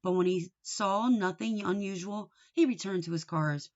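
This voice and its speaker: A woman reading a children's book aloud in an expressive storytelling manner. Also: mid-range voice, moderate pace, lo-fi sound. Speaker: storybook reader.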